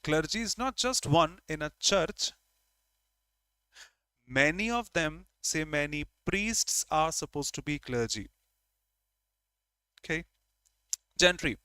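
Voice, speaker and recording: A man's voice: on the high side, animated, takes it slowly, pauses often, bright, forceful tone, smooth, no room sound. A man with an Indian English accent, a Hindi speaker, teaching an online grammar lesson and explaining step by step while repeating key words.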